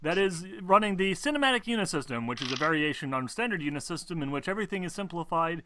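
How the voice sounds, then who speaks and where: A man's voice: on the high side, lively intonation, fast talker, sharp tone, slight background noise. A man hosting a tabletop roleplaying show as game master, explaining things wordily in a casual style. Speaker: game master and host of an actual-play show.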